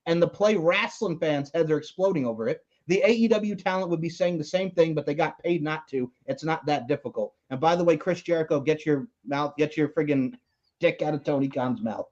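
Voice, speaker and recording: A man from New York with a New York accent, co-hosting a wrestling podcast, ranting loudly with constant swearing and mocking impressions. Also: fairly high pitch, a little fast, lo-fi sound. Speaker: podcast co-host. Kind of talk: profane wrestling podcast rant.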